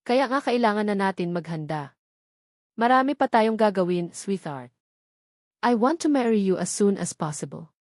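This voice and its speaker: A woman's voice: mid-range voice, animated, moderate pace, darkish tone, no room sound, clean studio sound, natural voice. A woman voicing an insistent, affectionate man engaged to the heroine, in a romance audio novel. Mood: commanding, eager.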